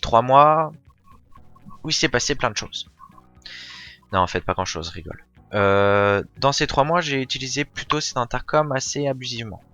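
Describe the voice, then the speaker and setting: Bright-voiced man reviewing casually. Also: medium pitch, moderate pace, pauses often, faint music behind. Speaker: product reviewer. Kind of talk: casual unboxing and review talk.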